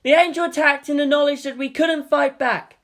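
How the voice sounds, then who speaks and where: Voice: high-pitched, steady tone, a little fast, fairly bright tone, voice pushed up. A reader voicing an impassioned young man preaching to a crowd in a zealous, declamatory way, in a dramatic story reading. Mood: grave, insistent. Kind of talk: dramatic fan fiction reading.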